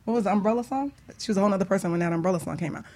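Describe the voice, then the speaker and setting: A woman chatting casually on a panel, telling examples in a lively, informal way. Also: medium pitch, very fast. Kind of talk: casual chat.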